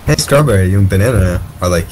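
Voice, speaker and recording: Visual novel apple boy read by a man, casual, teasing, lowish voice. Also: animated, quick pace. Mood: awkward, teasing. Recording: music behind the voice.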